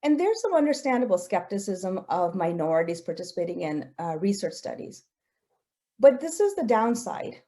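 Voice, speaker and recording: A woman's voice: mid-range voice, sing-song, moderate pace, dark tone. A woman in her forties, a researcher whose first language is Gujarati, giving an earnest, personal talk with slides. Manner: earnest, personal, with occasional fillers.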